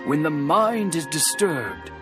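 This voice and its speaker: A man playing a patient, stern old master swordsmith in a video game, speaking in a measured, solemn way. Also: a little high, big pitch swings, over music. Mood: calm, admonishing.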